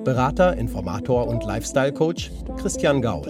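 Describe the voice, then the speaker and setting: A man's voice: mid-range voice, very animated, normal speed. A man reading scripted credits as the announcer of a children's radio play. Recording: loud music under voice.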